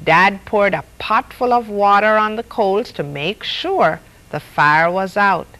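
A woman's voice: medium pitch, slow pace, fairly bright tone, poor audio. A middle-aged woman reading a children's story aloud expressively, acting out the characters' lines as she goes. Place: studio set dressed as a reading nook with stuffed toys.

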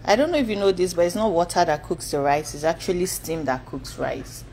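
A woman's voice: on the low side, fairly quick, dark tone, slight background noise. A woman chatting in a home kitchen, teasing and correcting the cook as he goes. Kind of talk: casual chat.

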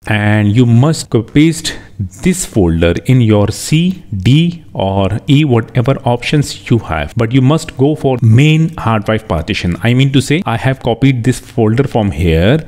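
Mellow-voiced man giving a tutorial. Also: medium pitch, animated, measured pace. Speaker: tech tutorial host. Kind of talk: step-by-step tutorial narration.

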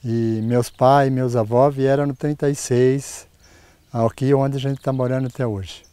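Older farmer, mellow halting voice. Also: male voice, mid-range voice, fairly even intonation, clear, resonant tone, no room sound. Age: 60s. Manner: plain, halting storytelling. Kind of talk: casual interview answers. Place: outdoors on a farm.

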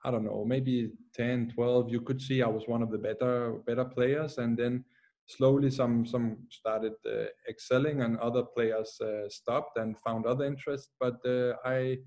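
A young man from Denmark with a Danish accent, a footballer answering interview questions calmly over a video call, pausing often. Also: medium pitch. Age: young adult. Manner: calm, measured, with many "uh" pauses. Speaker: guest, professional footballer. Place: plain room at home.

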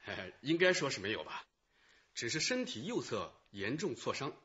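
A man's voice: mid-range voice, big pitch swings, moderate pace, sharp tone, highs cut off. An actor playing a matter-of-fact male hospital doctor with a hesitant delivery in a radio drama. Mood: measured, informative. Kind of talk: Chinese radio drama acting.

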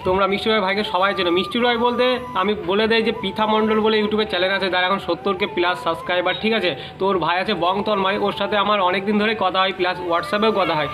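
A man's voice: high-pitched, fairly even intonation, quick pace, hardly any pauses. A man, hosting a prank-call vlog in casual, repetitive chat. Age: 20s. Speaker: prank-call video host. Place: outdoors beside a wall and metal shutter.

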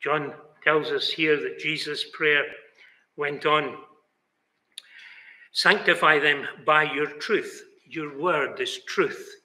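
A man's voice: fairly high pitch, slow pace, pushed and bright. An older man preaching a sermon in a small chapel, earnest and emphatic. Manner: earnest, emphatic, gestures with both hands. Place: small chapel, indoors, banner behind. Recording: roomy chapel echo.

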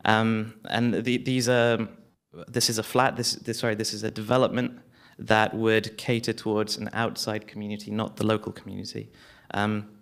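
A man's voice: fairly low pitch, level tone, normal speed, vocal fry, hardly any pauses, clear, resonant tone. A young man, a community campaigner from London, speaking on a panel in a small hall with a handheld mic, hesitant with many restarts. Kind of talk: panel discussion.

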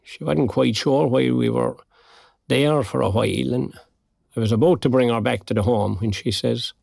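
A recently retired Irishman with a gravelly edge to his voice. He talks colloquially and with wry good humour, in a naturalistic audio drama, recorded close and dry. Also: medium pitch, moderate pace, a little mellow, dry recording. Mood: warm, reflective. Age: older.

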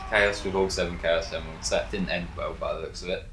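A man's voice: low-pitched, fairly even intonation, fast talker, echoey room, noisy background. A man giving casual, sarcastic commentary over a video game, joking with friends. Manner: sarcastic, wry. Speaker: gameplay commentator.

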